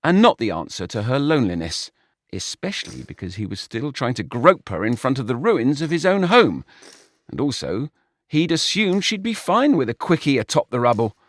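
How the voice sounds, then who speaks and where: A man's voice: medium pitch, sing-song, normal speed, no room sound. A man reading a comic short story aloud in a wry, dry storytelling manner. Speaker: narrator. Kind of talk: storybook narration.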